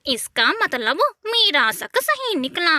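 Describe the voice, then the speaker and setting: A woman's voice: very high-pitched, very animated, takes it slowly, bright, forceful tone, no room sound, studio quality, heavily acted. A woman voicing a scheming, gossipy female crow in a cartoon, with a sly, meddling manner. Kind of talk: cartoon voice acting.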